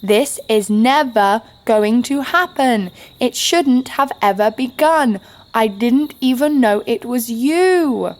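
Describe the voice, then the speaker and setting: A woman's voice: fairly high pitch, slow pace. A woman playing a brash, sardonic young adventurer, blunt and sarcastic, narrating her exploits in an audio drama. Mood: disgusted, defiant.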